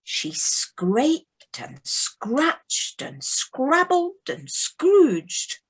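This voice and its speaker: A woman's voice: high-pitched, huge pitch swings, drawn-out words. A woman narrating a children's story dramatically, as in a storybook audio play. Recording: home video-call recording.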